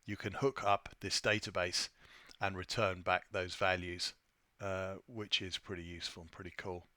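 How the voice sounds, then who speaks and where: A man presenting an informal software tutorial, thinking aloud in a somewhat hesitant way as he demonstrates. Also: low voice, flat delivery, normal speed, dry recording. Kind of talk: informal screen-share walkthrough.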